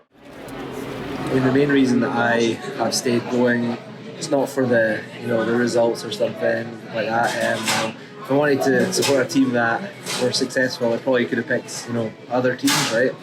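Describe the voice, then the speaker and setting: Flat-voiced Scottish man over music. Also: mid-range voice, moderate pace, rough recording. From Scotland. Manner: relaxed, tags "you know" and "right". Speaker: supporters' group volunteer. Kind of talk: documentary interview.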